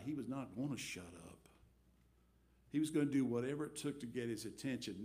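A middle-aged man preaching a storytelling sermon from a church pulpit, swinging between big acted-out crowd voices and quiet asides. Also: mid-range voice, gravelly. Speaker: pastor. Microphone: headset mic.

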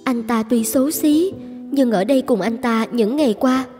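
Actress as a high-voiced gentle young bride. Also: female voice, moderate pace, close to an everyday voice. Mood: hesitant. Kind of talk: cartoon fairy-tale dubbing. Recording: music behind the voice.

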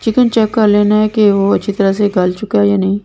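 Brief: Pakistani woman cooking host, dark gravelly tone, plain step-by-step instructions. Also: mid-range voice, little pitch movement, brisk, lo-fi sound. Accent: Pakistani Urdu. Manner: plain, repetitive instructional delivery. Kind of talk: step-by-step recipe voice-over. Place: home kitchen.

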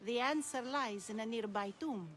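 A woman's voice: fairly high pitch, normal speed, fairly bright tone, slight background noise, close to an everyday voice. A woman playing a poised, cunning, mysterious quest giver in a video game, formal, teasing and self-assured. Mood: challenging. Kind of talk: video game cutscene acting.

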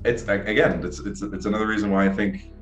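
A young man hosts a video-call interview, eager and rambling, tripping over his restarts. His voice sits a bit low and bounces around an echoey room, with faint music under it. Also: little pitch movement, quick pace. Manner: enthusiastic, rambling, many restarts. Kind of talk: casual interview chat. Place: small room, indoors. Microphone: video call, earbuds.

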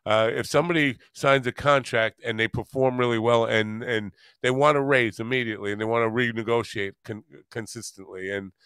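An older man hosts a casual interview chat. His voice is smooth and clear, recorded dry and close on a studio microphone. He talks informally, as one does on a podcast. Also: medium pitch, a little fast, few pauses, a bit bright, no echo. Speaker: podcast host. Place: small home studio, indoors. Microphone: close studio mic on stand.

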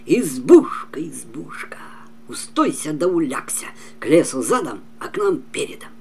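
A man's voice: fairly high pitch, very animated, a little slow, exaggerated voice. An older man voicing a bold, boastful young folk-tale warrior in a declamatory way. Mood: commanding. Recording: clearly noisy home recording.

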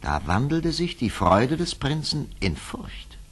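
A man's voice: mid-range voice, very animated, takes it slowly, fairly bright tone, slight background noise. A man narrating a fairy-tale radio play in a scripted, dramatic storytelling manner.

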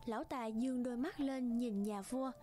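A woman tells a cartoon fairy tale in a rather high voice. It is scripted storytelling, with music playing clearly behind her. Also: normal speed, mellow. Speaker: narrator.

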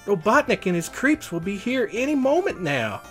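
A man's voice: sits high, sing-song, normal speed, soft background music, pitched above the performer's own voice. A man voicing a feisty, bossy young heroine in a storybook. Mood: alarmed.

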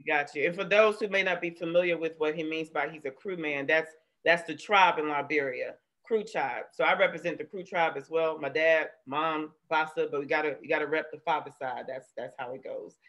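Bright, chatty woman hosting. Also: deep voice, fairly quick, few pauses. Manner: chatty, laughs often. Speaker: host. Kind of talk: casual video-call interview. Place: room at home. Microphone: webcam on video call.

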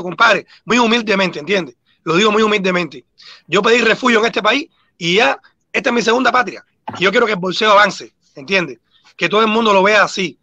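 A Cuban boxing coach with a Cuban accent, talking earnestly and repeating himself as a guest on a video-call panel. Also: male voice, high voice, pushed and bright, dry recording, lo-fi sound. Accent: Cuban Spanish. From Cuba.

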